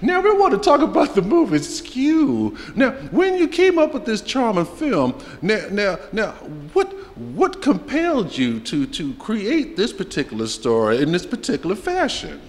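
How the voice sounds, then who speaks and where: A man's voice: sits high, wildly swooping pitch, normal speed, few pauses. A middle-aged man hosting a festival interview on a handheld mic, theatrical and joking, rambling through his questions. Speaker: festival interviewer, show host. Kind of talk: playful red-carpet interview. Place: festival press wall, indoors. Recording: reverberant hall.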